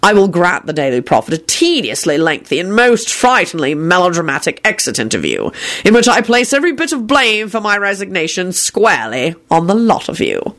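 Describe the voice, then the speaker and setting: A woman's voice: on the low side, very animated, a little fast, fairly bright tone. Woman reading as a cool, sardonic minister. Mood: cool, dry. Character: cool, dry man, senior minister.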